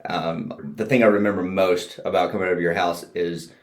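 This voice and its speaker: A man's voice: sits low, steady tone, fairly quick. A man hosting a casual podcast interview, asking his guest questions in a chatty, friendly way. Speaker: podcast host. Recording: roomy echo.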